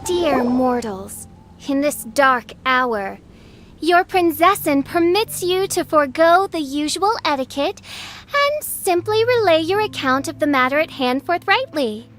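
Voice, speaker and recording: A woman's voice: high-pitched, animated, measured pace, a bit bright, faint music behind. A woman voicing a theatrical young girl who styles herself a princess of judgment and speaks in grand, archaic words in a game cutscene. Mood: haughty. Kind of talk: video game voice acting.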